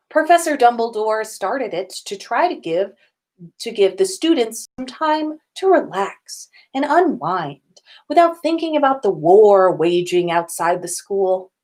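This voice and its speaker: A woman hosting a casual story reading, expressive and given to drawn-out emphasis as she narrates and comments. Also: medium pitch. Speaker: podcast host reading listener-written fan fiction aloud. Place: own room at home.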